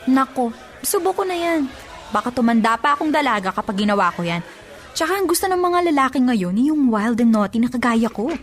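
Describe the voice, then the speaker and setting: A woman's voice: on the high side, lively intonation, fairly quick, slight background noise, lightly acted. A woman playing a flirty, bold single office worker in her twenties, with playful, theatrical radio drama delivery. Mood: teasing, defensive. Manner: playful, theatrical, Taglish banter.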